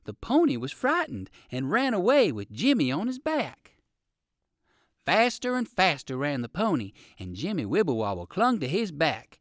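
A man narrating a children's story in an expressive, dramatic manner. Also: fairly high pitch, no room sound, studio quality.

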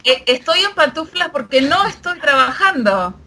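A woman's voice: sits high, sing-song, moderate pace, a crowd in the background. Thoughtful woman guest, native signer. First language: Argentine Sign Language. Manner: explanatory, thoughtful. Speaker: sign language interpreter, guest. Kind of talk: casual podcast interview.

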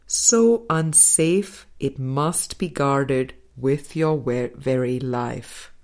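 A woman narrating a spiritual audiobook, reading scripted text in measured, deliberate phrases. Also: rumbling low, a little mellow. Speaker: audiobook reader. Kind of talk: scripted spiritual book narration, read aloud.

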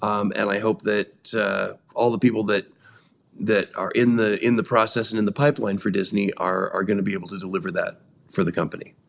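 A man's voice: fairly low pitch, fairly even intonation, fairly quick, vocal fry, slight background noise. A man co-hosting a podcast, talking earnestly and repeating phrases for emphasis. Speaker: podcast co-host. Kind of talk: casual discussion.

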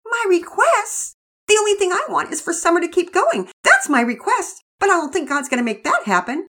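A woman's voice: very high-pitched, very animated, fairly quick, bright, forceful tone, pitched above the performer's own voice, heavily acted. Storybook little girl, stammering and pleading, put on by a female narrator. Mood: sulky. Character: anxious little girl, second grader, tearful.

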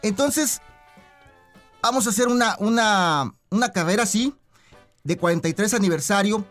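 High-voiced Mexican man, a radio director. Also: lively intonation, normal speed, a bit bright, soft background music. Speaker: broadcasting director being interviewed. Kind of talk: conversational broadcast interview.

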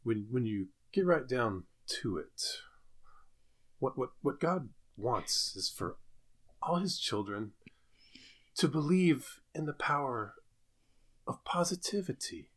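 A man acting an earnest middle-aged minister father who stammers and halts with hammy pauses, in a parody table read. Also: mid-range voice, big pitch swings. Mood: mumbling, inspired.

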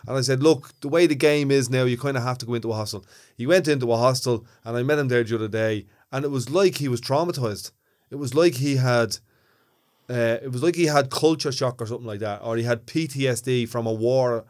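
A man's voice: mid-range voice, a little fast, a little mellow. A man with a Dublin Irish accent, co-hosting a podcast in casual, blunt and earthy chat, often repeating words as he starts a thought. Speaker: podcast co-host.

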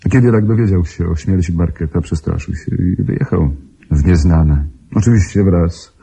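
An actor playing a self-assured, gently teasing suitor and amateur sleuth who explains wryly in a radio play. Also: male voice, sits low, normal speed, creaky, slightly rough, heavily acted. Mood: gentle, reassuring. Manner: explanatory, wry. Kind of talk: Polish radio drama acting.

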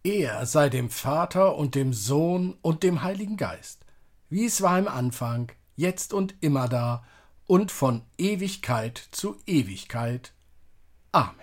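A man's voice: on the high side, animated, slow. A man reading psalm and prayer as a church service leader, solemn and declamatory.

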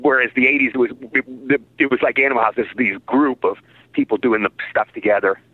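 A man in his sixties, an actor guest in a casual interview, telling stories excitably and repeating his phrases. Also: medium pitch, fairly quick, no room sound. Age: 60s. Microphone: phone. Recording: tinny phone line.

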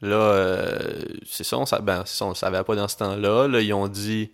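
Young male podcast co-host, Québécois accent, low voice, easygoing storyteller. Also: moderate pace. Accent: Québécois French. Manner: relaxed, laid-back storytelling with English slang mixed in. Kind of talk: casual chat.

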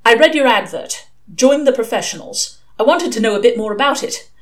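A woman's voice: on the high side, animated, brisk, voice pushed up, natural voice. Woman acting a timid, hesitant young Englishman. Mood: nervous, earnest. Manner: hesitant, stammering, tentative.

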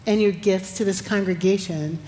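A woman's voice: mid-range voice, fairly even intonation, normal speed, warm tone. A woman pastor leading a church service and welcoming new members with exuberant, whooping encouragement. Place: large church sanctuary. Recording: church hall reverb with congregation noise.

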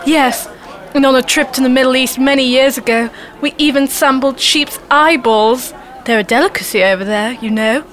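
A woman's voice: sits high, moderate pace, fairly bright tone. A woman playing a posh, affected, pretentious well-travelled diner in a comedy sketch. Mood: smug. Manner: affected, showing off.

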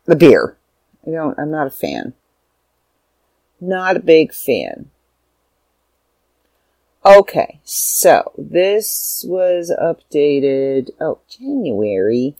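A young woman vlogging casually from home, rambling and sidetracking, a little stuffy and hoarse with a sore throat and tired. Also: low voice, very animated, drawn-out words, long pauses, dark tone, dry recording. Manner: rambling, self-interrupting. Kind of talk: casual chat to camera.

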